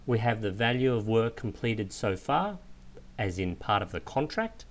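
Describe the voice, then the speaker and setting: A man giving a methodical, explanatory software walkthrough in the manner of a trainer. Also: lowish voice, normal speed. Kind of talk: instructional screen walkthrough narration. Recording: clear steady background noise.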